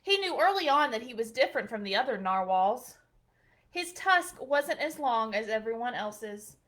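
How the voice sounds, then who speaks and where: A woman reading a children's picture book aloud in a gentle, expressive way. Her voice sits high and moves a lot in pitch, like a librarian's bedtime storytime. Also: normal speed.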